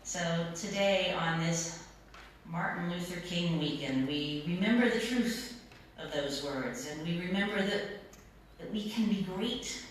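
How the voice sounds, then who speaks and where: A woman pastor giving a sermon in a church, phrasing it in a measured way with deliberate repetition. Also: lowish voice, expressive. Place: church sanctuary. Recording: echoey church, distant mic, faint noise.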